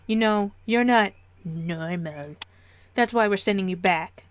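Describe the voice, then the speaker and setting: A woman's voice: mid-range voice, a little slow, slight background noise, close to an everyday voice. Woman reading as a cold, blunt father. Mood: cold, fed up. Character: cold, stern father disowning his adopted child.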